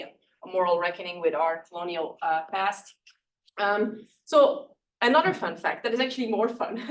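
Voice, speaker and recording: A woman from northern Belgium, Dutch her first language, delivers a public lecture in an easygoing, conversational way, dotted with fillers and quick laughs at herself. Her pitch sits in an ordinary middle range. The recording picks her up from some distance in a lecture room, with a faint hum of ambience underneath. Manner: conversational, self-deprecating, many fillers and small laughs. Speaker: professor giving award lecture.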